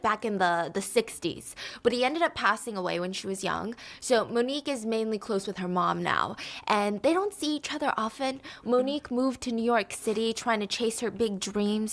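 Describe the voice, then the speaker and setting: A woman's voice: mid-range voice, fairly bright tone. A woman hosting a book review video, talking casually and gushing about a novel she loved. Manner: enthusiastic, gushing. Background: played from a video on stream.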